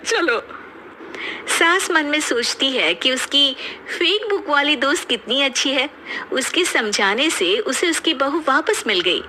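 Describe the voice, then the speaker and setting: Female narrator for scripted moral tales, high-pitched with a bright, forward tone. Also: a little hiss or hum, rough recording.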